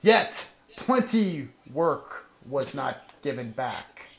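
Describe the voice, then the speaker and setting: A man voicing an overdramatic ham actor in a grave, halting comedy reading with heavy pauses. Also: medium pitch, very animated, vocal fry, reverberant, voice pushed down, heavily acted. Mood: grave, melodramatic. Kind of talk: mock-dramatic email reading.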